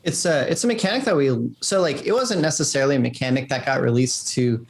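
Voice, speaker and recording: A man speaks in a casual interview as a game designer. His voice sits at a middling pitch, and he talks informally, feeling his way through sentences with plenty of filler words. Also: vocal fry. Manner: informal, halting, frequent "like".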